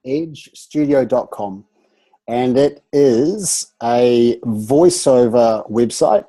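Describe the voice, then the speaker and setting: Brief: nasal man, voice coach, husky and dark, animated video-call chat. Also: mid-range voice, very slow. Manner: animated, playful, trails off into restarts. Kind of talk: casual group video chat.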